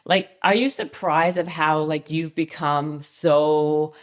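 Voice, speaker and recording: A woman from Canada hosting a podcast, eagerly firing quick follow-up questions. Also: low voice, steady tone. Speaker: podcast host. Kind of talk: podcast interview.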